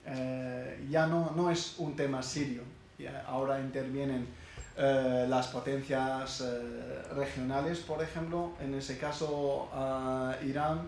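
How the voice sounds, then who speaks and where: A middle-aged man from Syria gives a halting personal testimony, full of pauses and restarts. His voice sits at an ordinary pitch and carries a heavy echo from a lecture hall, with faint noise underneath. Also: level tone, gravelly, a little mellow. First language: Arabic. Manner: halting, many hesitations and restarts. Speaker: speaker at a university event.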